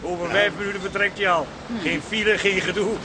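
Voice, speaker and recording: A man's voice: high-pitched, fairly even intonation, brisk, noisy background, lightly acted. An actor playing a boastful, smug, ever-enthusiastic family man in a radio drama. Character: stubbornly upbeat, boastful family man on an outing. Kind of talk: modern Dutch radio drama acting. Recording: busy station crowd and vehicles behind.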